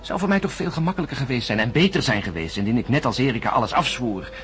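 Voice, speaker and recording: An actor playing an embittered, suspicious astronaut in a science-fiction radio drama, emphatic and sardonic, with brooding hesitations. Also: male voice, mid-range voice, sing-song, fast, fairly bright tone. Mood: grave, insistent. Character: embittered, suspicious male astronaut insisting on his alarming sighting.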